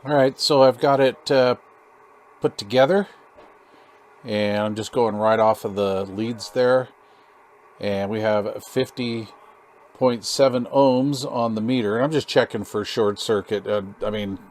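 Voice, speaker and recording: A man's voice: medium pitch, measured pace. A man hosting a hands-on DIY radio build video, chatting casually in a rambling, folksy way with informal slang. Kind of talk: casual hands-on tutorial chat.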